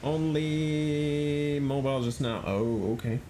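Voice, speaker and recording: A man's voice: fairly high pitch, slow, dark tone, slight background noise. A man streaming a flight simulator, chatting casually, thinking aloud and answering chat with many fillers.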